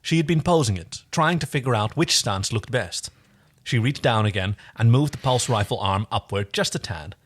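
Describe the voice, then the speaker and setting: A playful, expressive man co-hosting a podcast, reading fan fiction aloud and chatting casually. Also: mid-range voice, moderate pace. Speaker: podcast co-host and reader.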